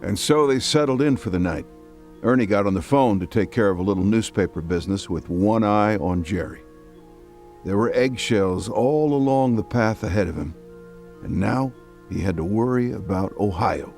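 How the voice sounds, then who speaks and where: A man's voice: lowish voice, moderate pace, a little mellow, close to an everyday voice. A man acting as the wry narrator of a period audio drama, reading scripted storytelling narration. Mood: wry, foreboding. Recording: music under the narration. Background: music.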